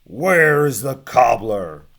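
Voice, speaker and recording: A man voicing a grumbling, hungry giant in a storybook, loud and slow. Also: mid-range voice, very animated. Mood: roaring, menacing.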